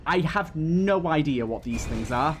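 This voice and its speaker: A man's voice: a little high, lively intonation, measured pace, a little hiss or hum. A man giving casual gameplay commentary, thinking aloud through his tactics as he plays. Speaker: gaming channel host. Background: game music.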